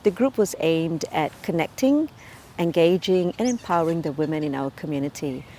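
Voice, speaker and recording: A woman in her late thirties from Malaysia, speaking as a community cultural ambassador in a profile interview, measured and earnest with frequent ums and uhs. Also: low-pitched, animated, dark tone, slight background noise.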